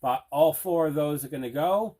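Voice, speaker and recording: A man's voice: a little high, normal speed, dark tone. A man hosting a casual unboxing video, chatting away and repeating himself for emphasis. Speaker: host of an unboxing video show.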